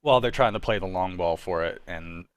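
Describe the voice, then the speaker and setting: Young man, lowish pitch, livestream host, casual chat, informal, loose phrasing. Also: moderate pace, smooth, no room sound. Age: young adult. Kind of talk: casual group chat about TV and films.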